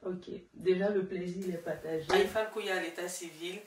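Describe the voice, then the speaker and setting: A woman's voice: lowish voice, steady tone, moderate pace. A woman with an Ivorian accent telling her own story casually as an interview guest, repeating phrases for emphasis. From Ivory Coast. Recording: roomy, distant mic, faint noise.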